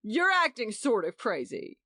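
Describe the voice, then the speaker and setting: A woman's voice: a little high, big pitch swings, unhurried, bright, forceful tone, dry recording, pitched above the performer's own voice, heavily acted. A woman voicing an angry middle-aged husband who speaks sharply and blusters.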